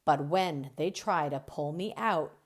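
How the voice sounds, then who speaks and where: A woman reading a children's book aloud as a storyteller, expressive and deliberate, drawing out key words. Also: sits low. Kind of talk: expressive read-aloud narration.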